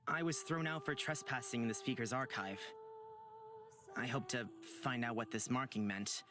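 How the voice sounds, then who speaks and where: A man's voice: medium pitch, moderate pace, natural voice. Man acting earnest young outcast, music behind. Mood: earnest, sombre. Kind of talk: visual novel voice acting.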